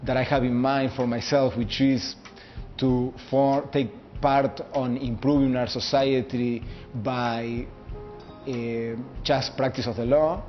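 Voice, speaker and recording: Deliberate, monotone man in his thirties with a Uruguayan Spanish accent, picking his words with care, muffled lo-fi audio. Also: medium pitch. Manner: measured and deliberate, searching for words. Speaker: law student. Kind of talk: personal video statement. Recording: muffled lo-fi recording with faint background music.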